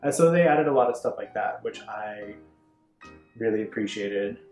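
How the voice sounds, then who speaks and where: A woman with a strikingly low voice shares her views in a casual fan review. She explains herself earnestly, softening points as she goes. The room is echoey, with faint music underneath. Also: lively intonation, normal speed. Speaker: review show panelist. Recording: roomy echo, faint background music.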